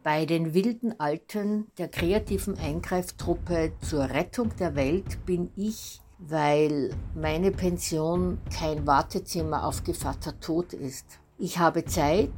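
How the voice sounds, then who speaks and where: Lady late 60s, lowish voice, really slow delivery, reading out her statement. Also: darkish tone, a little hiss or hum. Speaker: member of senior activist group. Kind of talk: prepared personal testimonial.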